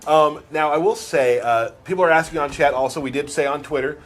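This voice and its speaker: A man's voice: a little high, fairly quick. A man co-hosting a live game stream in a conversational way, asking questions and summing things up for the others. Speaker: co-host of a game livestream. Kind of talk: casual live chat and interviewing.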